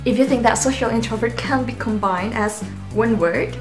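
A woman's voice: on the high side, normal speed. A young woman from Indonesia, a native Indonesian speaker, talking to camera in a casual, rambling, self-deprecating way as a channel host in a small bedroom. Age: young adult. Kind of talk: casual vlog talk to camera. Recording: roomy echo with music behind.

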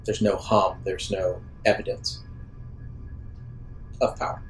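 A man acting as game master, improvising narration for a tabletop roleplaying game in halting, thoughtful phrases. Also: deep voice, flat delivery, soft background music, poor audio.

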